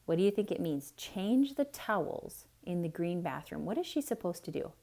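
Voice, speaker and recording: A woman storyteller reading aloud theatrically for children, pausing to explain things simply and ask questions. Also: lowish voice, big pitch swings, creaky, warm tone. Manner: explains simply, chatty asides. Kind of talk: theatrical read-aloud, asking young listeners questions.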